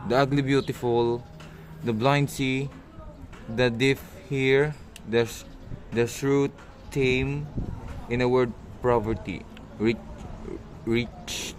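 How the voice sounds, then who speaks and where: A man playing a boastful, fawning village matchmaker in an amateur radio drama, reading haltingly and stumbling over words. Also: mid-range voice, lightly acted.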